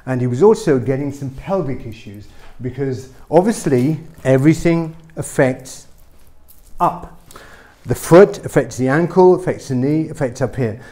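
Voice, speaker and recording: A middle-aged man, a chiropractor, explaining a treatment lively and emphatically in a small clinic room. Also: on the high side.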